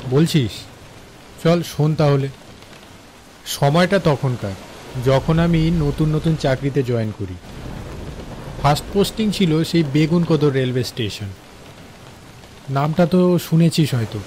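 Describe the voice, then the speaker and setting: A man's voice: fairly high pitch, measured pace, pauses often, darkish tone, slight background noise, lightly acted. A man with a West Bengal accent playing a friendly older-brother figure, a railway worker, in a cartoon ghost story. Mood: intriguing. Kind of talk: dramatized cartoon dialogue and storytelling. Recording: crickets and rain behind.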